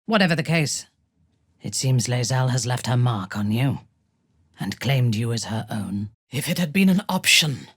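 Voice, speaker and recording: A woman playing a haughty, ruthless drow warrior in a video game, with a husky, breathy voice and formal, imperious diction. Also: very deep voice, lively intonation, normal speed, no room sound, studio quality, full character voice. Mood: cool, haughty. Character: haughty, ruthless female drow warrior, devout and coldly seductive. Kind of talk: video game character acting.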